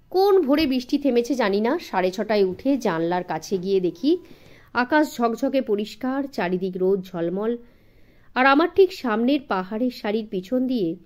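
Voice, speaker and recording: A woman's voice: medium pitch, darkish tone. A woman narrating an audiobook story expressively, in a dramatic storytelling manner suited to young listeners.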